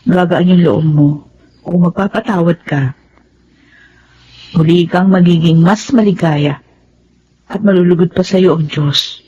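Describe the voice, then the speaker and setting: A woman plays a caring, devout young woman in a radio drama, gently persuading. Her voice is dark and mellow, on a dull, noisy recording. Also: fairly low pitch, unhurried, long pauses, natural voice. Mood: encouraging. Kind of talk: Tagalog radio drama acting.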